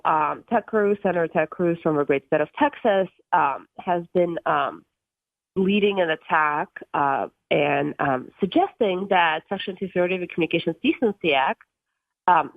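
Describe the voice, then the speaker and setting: A young woman from Russia in her twenties speaks earnestly as a radio guest, her voice sitting a little low and often pausing on ums and uhs. She comes through a tinny phone line with a dry, close sound. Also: no room sound. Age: 20s. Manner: earnest, many ums and uhs, occasional laughs. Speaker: guest from a tech policy group.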